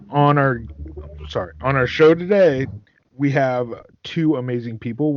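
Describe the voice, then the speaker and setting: A man's voice: mid-range voice, darkish tone, no echo. A man hosting a podcast interview in a casual, chatty style. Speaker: podcast host. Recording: dry, dull lo-fi microphone.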